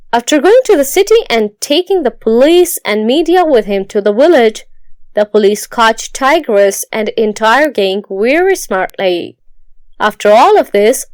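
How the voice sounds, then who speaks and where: A woman narrates a scripted moral tale. Her voice is fairly high and very animated in pitch, with a dry, close studio-like sound. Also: no room sound. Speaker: storyteller.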